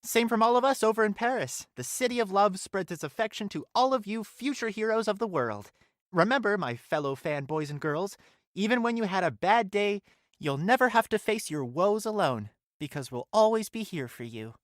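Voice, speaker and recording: A woman's voice: medium pitch, animated, normal speed, no room sound, studio quality, lightly acted. A woman voicing an earnest, friendly young hero, politely and cheerily hosting a scripted holiday show. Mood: cheerful. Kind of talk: scripted show sign-off, audio drama.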